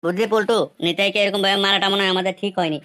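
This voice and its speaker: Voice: medium pitch, steady tone, rapid-fire, pushed and bright, pitched above the performer's own voice, close to an everyday voice. A man voicing a chatty young club sidekick in a comic cartoon, on a very noisy recording with clicks. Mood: uneasy.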